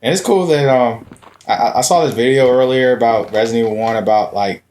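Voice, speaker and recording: A young man chatting over gameplay, teasing and excitable. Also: mid-range voice, brisk. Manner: teasing, excitable, laughs often. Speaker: gameplay co-host. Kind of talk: casual gaming chat. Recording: game music underneath.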